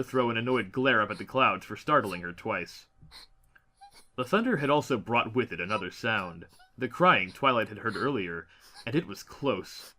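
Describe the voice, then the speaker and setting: A man's voice: lowish voice, bright, forceful tone. A man narrating a fantasy story as an audiobook reader, in a dramatic scripted style.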